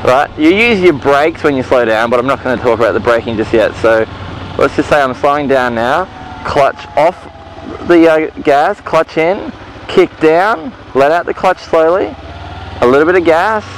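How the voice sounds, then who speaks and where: A man teaching motorcycle gear changes while riding slowly around an outdoor car park, talking casually through a helmet mic with lots of fillers and restarts. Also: on the high side. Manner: relaxed, rambling, many fillers and restarts. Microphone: helmet-mounted mic with visor down. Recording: muffled helmet echo with engine noise.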